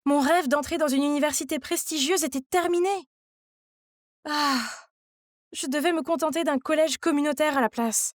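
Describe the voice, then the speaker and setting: A woman narrates a scripted story in the first person as a young heroine. Her voice is high and confiding, and the recording is very dry. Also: moderate pace, dry recording.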